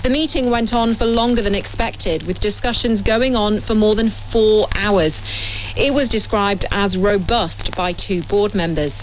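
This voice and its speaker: A woman's voice: mid-range voice, unhurried. A woman reporter narrates a scripted news piece in a mid-range voice. It comes through dull, narrowed radio audio with faint noise. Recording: muffled, lightly noisy shortwave radio relay.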